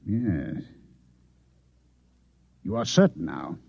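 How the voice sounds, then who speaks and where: An actor in a vintage radio drama playing a polite, measured, insinuating Martian who poses as a newspaper reporter. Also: male voice, low voice, very animated, creaky, a little mellow, husky, no echo, highs cut off, exaggerated voice. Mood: cold, threatening. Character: coolly menacing Martian posing as a male newspaper reporter. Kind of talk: 1950s radio drama acting. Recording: thin, band-limited old radio recording.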